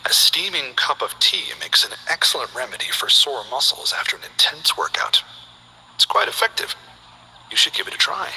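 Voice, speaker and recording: A man voicing a calm, polite male gym owner who speaks softly and half-whispers in a video game. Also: mid-range voice, gravelly, breathy edge, heavily acted, low-quality recording. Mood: gentle. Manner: soft-spoken, measured, half-whispered.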